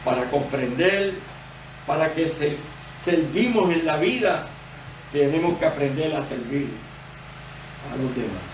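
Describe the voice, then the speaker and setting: Male preacher, Puerto Rican accent, slow and methodical, heard from a distance. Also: a little high, animated. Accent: Puerto Rican Spanish. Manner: measured, explanatory preaching. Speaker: associate pastor. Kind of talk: sermon. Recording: roomy, distant church recording with faint noise.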